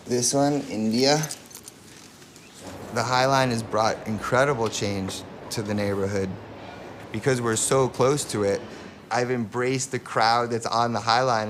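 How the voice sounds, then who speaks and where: Low, even-voiced man. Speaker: painter and designer. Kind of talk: explanatory documentary interview. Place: home studio over a scrapyard. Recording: faint scrapyard noise in studio.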